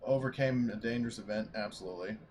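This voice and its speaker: A man chatting casually as a tabletop game master, speaking haltingly as he thinks aloud. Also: fairly low pitch, darkish tone, a little hiss or hum. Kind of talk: casual post-game chat.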